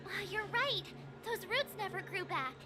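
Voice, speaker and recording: An actress playing a perky, eager young girl adventurer in a video game, bubbly and excitable in manner. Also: very high-pitched, unhurried, over music. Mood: impressed.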